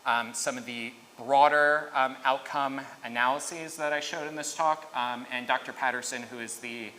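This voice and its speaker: A man in his thirties presenting research at a conference, halting and full of fillers. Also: medium pitch, fairly even intonation, bright, forceful tone. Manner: halting, filler-heavy, restarts mid-thought. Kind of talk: conference talk with Q&A. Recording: echoey hall, faint noise.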